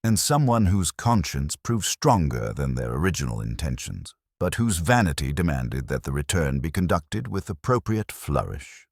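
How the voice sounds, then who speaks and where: A man voicing a dry, reflective police inspector in a detective story, speaking formally and deliberately with wry understatement. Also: rumbling low, big pitch swings, gravelly, husky, no room sound, heavily acted. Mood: wry, musing.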